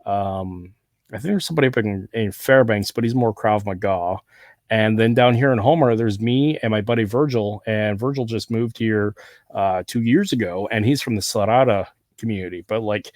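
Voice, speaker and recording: A man with a slightly low voice and a relaxed Southern California accent that carries a Hawaiian touch. He hosts a podcast and chats in a loose, rambling way, agreeing easily. The sound is dry and close, with no room echo. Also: moderate pace. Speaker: podcast host. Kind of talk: casual conversation.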